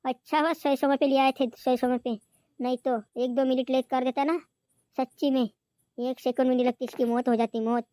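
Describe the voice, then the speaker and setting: A man's voice: very high voice, flat delivery, normal speed, mellow, smooth, no echo. A man voicing an eager, deferential doctor's assistant who agrees repetitively in an amateur cartoon comedy. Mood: relieved.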